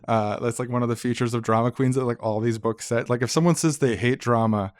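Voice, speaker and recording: A man's voice: mid-range voice, fairly even intonation, a little fast, no room sound, studio quality. A man co-hosting a podcast, explaining things in a casual, wry, informal way. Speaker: podcast co-host. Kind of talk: casual conversational discussion.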